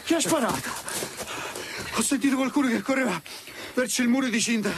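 A high-voiced, excitable police underling. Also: male voice, brisk, noisy background. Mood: agitated. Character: obedient, excitable male police subordinate. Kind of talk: Italian radio drama acting.

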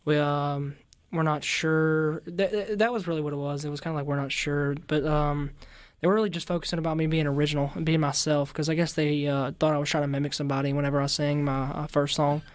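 A teenage boy with a raspy voice, answering interview questions politely with many fillers in a radio studio. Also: on the high side, little pitch movement, fast talker, dry recording. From Mississippi-Louisiana border. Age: teens. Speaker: interview guest, singer. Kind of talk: casual interview. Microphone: studio mic with headphones. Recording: dry close studio sound.

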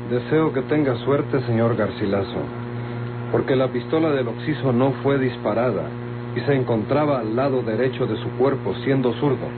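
An actor with a Mexican accent playing a calm, suspicious lawman, formal and pointed, in a vintage radio drama. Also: mid-range voice, moderate pace, close to an everyday voice. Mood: cool, formal. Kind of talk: Mexican golden-age radio drama acting. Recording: muffled vintage radio, loud hum and noise.

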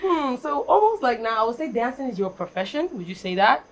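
A woman's voice: fairly high pitch, big pitch swings, fairly quick, rough recording. A young woman hosting a casual interview. She asks questions playfully, teases her guests, and laughs often. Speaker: host. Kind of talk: interview host. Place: decorated studio set.

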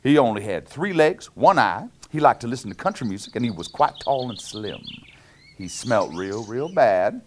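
A man's voice: mid-range voice, very animated, moderate pace, slight background noise, voice pushed down, natural voice. A middle-aged man playing a jolly Canadian lumberjack, reading a picture book to children with playful, exaggerated sing-song rhythms and chuckly asides. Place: small indoor cabin-style set.

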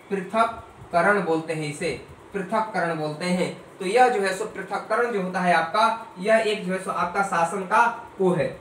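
A man's voice: sits high, fairly bright tone, far from the mic, slight background noise. A young man teaching an exam-prep lesson at a whiteboard, repeating key points and asking rhetorical questions, calling his viewers dear friends. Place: small room in front of a whiteboard. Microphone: camera mic a few steps away. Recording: echoey room, voice from off-mic.

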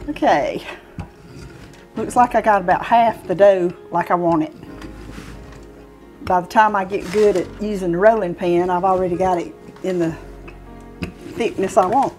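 A woman's voice: lowish voice, measured pace, long pauses, darkish tone, soft background music. A woman in her sixties with a Southern US accent, giving an informal, folksy cooking demonstration as she works in a home kitchen. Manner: folksy, explaining step by step while working. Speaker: home cooking show host. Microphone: clip-on lapel mic.

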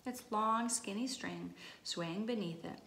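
A woman's voice: medium pitch, unhurried. A woman reads a children's storybook aloud with expression, in a mid-range voice. The sound is roomy and echoey, with noise behind her. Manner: expressive, acting out exclamations and dialogue. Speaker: storybook reader. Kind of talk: children's picture book read-aloud. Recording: echoey room, clear background noise.

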